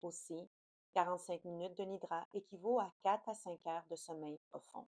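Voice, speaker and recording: A woman guiding a yoga nidra relaxation in a soothing, slow, unhurried manner. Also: on the low side, steady tone, dark tone, dry recording. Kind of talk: guided relaxation meditation.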